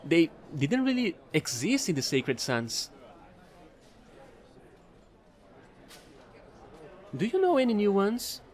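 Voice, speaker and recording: A man playing a chatty, excitable fallen alien angel who trades in space, gushing and rambling in an audio roleplay. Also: sits high, moderate pace. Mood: sheepish. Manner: bubbly, gushing, rambling, apologetic.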